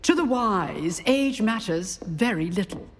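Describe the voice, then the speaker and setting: An actress playing a sharp, boastful old British professor of duelling in a fantasy game, lecturing drily in a reverberant hall. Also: female voice, mid-range voice, lively intonation, unhurried, background noise, close to an everyday voice. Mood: wry, commanding. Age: older. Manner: dry, imperious lecturing.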